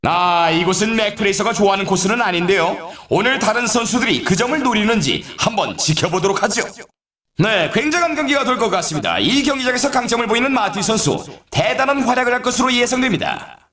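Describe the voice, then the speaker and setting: A man playing an excitable video game snowboard race announcer, with an emphatic, showy broadcast delivery. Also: sits high, fast talker, reverberant, exaggerated voice. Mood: excited, anticipating. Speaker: sports announcer. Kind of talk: scripted sports commentary for a snowboard video game.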